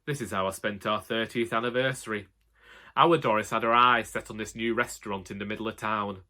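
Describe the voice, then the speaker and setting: Man playing a wry old Northern English husband, bright-voiced. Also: mid-range voice. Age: older. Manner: wry, long-suffering, deadpan storytelling. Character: grumbling, henpecked elderly Northern English husband narrating. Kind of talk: comic scripted monologue narration.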